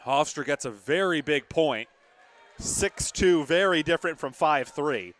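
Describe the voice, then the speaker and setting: Young guy doing volleyball play by play, voice a bit high, emphatic and chatty with his partner. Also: expressive, a little slow, fairly bright tone. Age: young adult. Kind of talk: live sports commentary.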